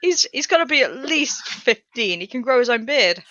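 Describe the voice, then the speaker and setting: A woman's voice: on the high side, sing-song, normal speed, pushed and bright, no room sound, lo-fi sound. A woman in her thirties co-hosting a podcast, rambling and wry in casual chat. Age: 30s. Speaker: podcast co-host.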